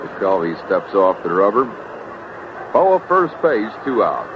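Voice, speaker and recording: A man calling baseball play-by-play in clipped, unhurried phrases on an old radio broadcast. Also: deep voice, sing-song. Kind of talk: radio sports commentary. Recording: dull noisy vintage radio broadcast.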